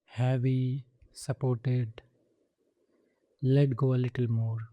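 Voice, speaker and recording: Very dark, soft-toned man guiding meditation. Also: mid-range voice, little pitch movement, dry recording, highs cut off. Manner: soothing, gentle instruction with measured pauses. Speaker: meditation guide. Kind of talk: guided body scan meditation.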